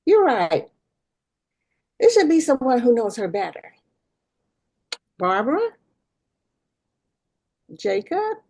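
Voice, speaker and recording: A self-important woman principal, played by an actress in a sitcom read, with a fairly high voice that moves around a lot. She is breezy and petty, putting on a show of being reasonable. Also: sing-song, slow pace, pauses often, a little mellow, slightly rough, heavily acted. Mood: bossy. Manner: breezy, pompous, mock-reasonable. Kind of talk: casual table read of a sitcom script.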